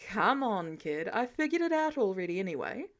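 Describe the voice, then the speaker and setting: A woman's voice: mid-range voice, sing-song, normal speed, natural voice. A woman voicing a smug, self-assured, teasing older man with a matter-of-fact, goading delivery in an audiobook. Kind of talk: audiobook character dialogue.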